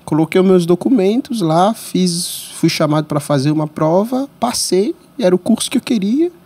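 A man in his thirties from Congo, whose first language is French, telling his life story as a podcast guest in a small studio, voice rising sharply when excited. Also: normal speed, husky. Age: 30s. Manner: animated storyteller, pitch jumps when excited. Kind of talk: storytelling interview. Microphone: studio mic on boom arm.

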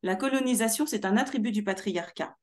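A young woman from Paris with Martinican roots, speaking as a guest in an animated interview over a video call. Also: mid-range voice, a little fast. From Martinique heritage, born in Paris. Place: small room at home.

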